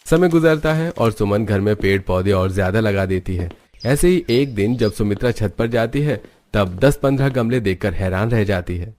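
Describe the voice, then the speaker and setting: A man's voice: lowish voice, expressive, moderate pace, darkish tone. A man narrating a scripted cartoon moral story.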